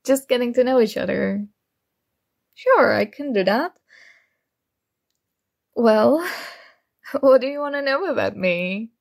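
Shy nerdy female student played by a woman for romantic ASMR roleplay; slow, halting, flustered, with vocal fry. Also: a little high, lively intonation, full character voice. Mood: hesitant, awkward. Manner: hesitant, awkward, halting.

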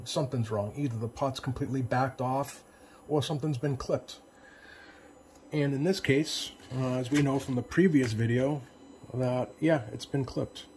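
A man's voice: medium pitch, a little mellow. A man giving a casual, unscripted repair demonstration in a plain-spoken, hesitant way, like a technician at his bench. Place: indoor home electronics workbench. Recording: noticeable background noise, workbench room.

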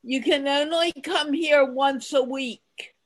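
An older woman on a video call who speaks very slowly, shaping each word with care. She sounds encouraging as she co-hosts a casual conversation. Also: sits high, fairly even intonation, a little hiss or hum. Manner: slow, deliberate and encouraging. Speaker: co-host.

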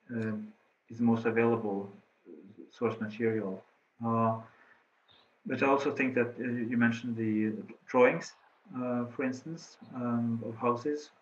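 A middle-aged man with a Norwegian accent, a researcher answering questions in an online talk, halting with many fillers and restarts. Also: medium pitch. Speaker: presenting researcher. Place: office room indoors. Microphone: laptop webcam on a video call. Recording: slightly dull video call audio.

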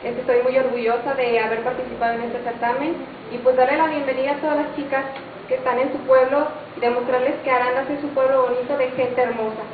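A woman's voice: high-pitched, level tone, quick pace. A woman aged about twenty with a Mexican accent introducing herself as a pageant contestant. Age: 20s. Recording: tinny, echoey, noisy distant recording.

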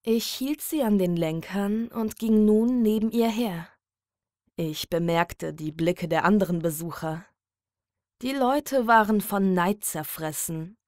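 A woman narrating a scripted horror story in a measured, dramatic delivery. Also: mid-range voice, a little mellow, dry recording, clean studio sound. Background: music.

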